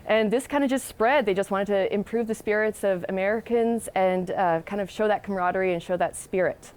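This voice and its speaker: A woman's voice: medium pitch, brisk, a little mellow. A woman giving a conversational live news report as a reporter.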